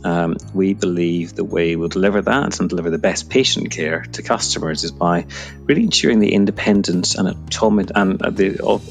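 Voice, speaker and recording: A man's voice: sits low, brisk, vocal fry, soft background music. A middle-aged Scottish man answering interview questions conversationally as an executive guest. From Edinburgh, Scotland.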